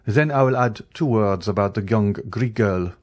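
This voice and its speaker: A man voicing a young gentleman journalist in an audiobook, formal, stiffly courteous and insistent. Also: lowish voice, normal speed, close to an everyday voice. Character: earnest young gentleman journalist, polite but indignant.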